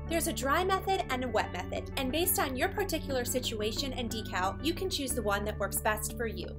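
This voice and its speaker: High-voiced woman presenting a tutorial. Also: lively intonation, fairly quick, over music. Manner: clear step-by-step explaining. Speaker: tutorial presenter for a decor company. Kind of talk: scripted instructional narration.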